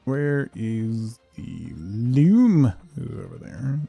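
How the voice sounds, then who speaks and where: A middle-aged man chats casually as he streams a game, drifting into muttering to himself. His voice is mellow with plenty of gravelly fry, recorded dry with faint music underneath. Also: mid-range voice, sing-song, takes it slowly, dry recording. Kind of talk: casual chat while playing a game, replying to viewers.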